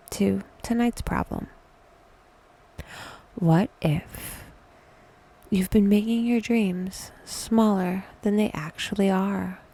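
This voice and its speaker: A woman leading a guided meditation in a soft, breathy whisper, intimate and deliberate, with long pauses. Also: medium pitch, poor audio.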